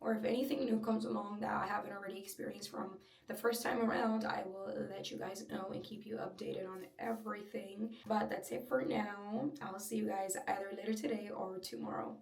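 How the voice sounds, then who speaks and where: A young woman with a slightly breathy voice, chatting openly and personally in a casual home vlog. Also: medium pitch, fairly even intonation, a little fast, hardly any pauses, recorded from a distance. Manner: chatty, filler-heavy, open and personal. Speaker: vlogger. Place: at home, in her room. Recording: roomy echo, a little off-mic.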